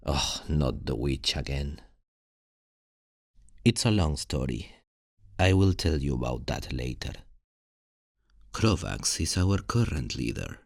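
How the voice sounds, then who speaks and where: A man playing a confident, cheeky thief recruiter in a fantasy ASMR roleplay, gravelly and husky, speaking in an unhurried, playful, expressive way. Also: a bass voice, no room sound, clean studio sound, heavily acted. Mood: explaining. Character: confident, cheeky male thief recruiter showing a newcomer around.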